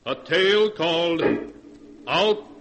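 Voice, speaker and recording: A man announces and narrates in 1940s radio horror style. His voice is fairly high for a man and very slow, with solemn, ominous, theatrical emphasis. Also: big-room sound, heavy background noise.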